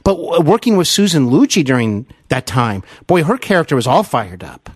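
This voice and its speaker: Higher-voiced man hosting a lively interview. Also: husky, dry recording, studio quality. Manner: polite, halting, appreciative. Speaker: podcast host. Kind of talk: conversational interview.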